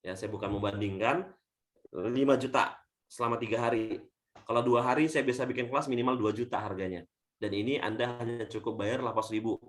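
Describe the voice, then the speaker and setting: A man from Indonesia, a leadership coach giving a webinar talk over a video call, swinging between loud and soft and often addressing the audience as friends. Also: fairly even intonation, fairly quick. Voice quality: says his natural voice is thin and shrill.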